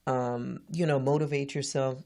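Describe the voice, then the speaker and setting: A woman in her forties hosting an interview, eager and quick to laugh loudly, with lots of fillers. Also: a bass voice, steady tone, gravelly. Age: 40s. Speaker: interview host. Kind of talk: casual interview chat.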